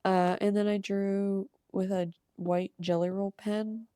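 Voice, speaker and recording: A young woman with a cold and a slightly hoarse voice, rambling casually with ums and soft laughs as she shows her art. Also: medium pitch, little pitch movement, takes it slowly, dry recording, studio quality. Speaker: art channel creator. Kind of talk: casual sketchbook tour voice-over.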